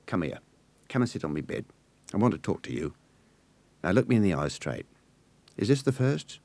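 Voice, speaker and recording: A man's voice: a little high, sing-song, fast talker, no room sound, pitched above the performer's own voice. A man putting on a brash young Cockney girl. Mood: gentle, teasing. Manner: blunt, loud and scolding.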